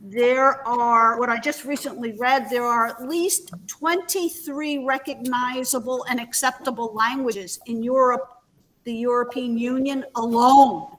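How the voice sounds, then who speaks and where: A woman's voice: a little high, steady tone, measured pace, a bit bright, a little hiss or hum, rough recording. A woman hosting a webinar in a conversational presenting style. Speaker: webinar host.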